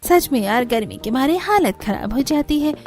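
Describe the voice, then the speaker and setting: A woman's voice: high voice, lively intonation, normal speed, a little mellow. A woman voicing a sympathetic young neighbour in a cartoon story, chatty and complaining. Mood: chatty griping. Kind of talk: cartoon story character dialogue.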